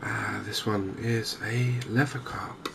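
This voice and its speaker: A man giving softly spoken ASMR commentary on a game, hushed, hesitant and unhurried, close to the microphone. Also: fairly low pitch, little pitch movement. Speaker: gaming video host. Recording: clear background noise.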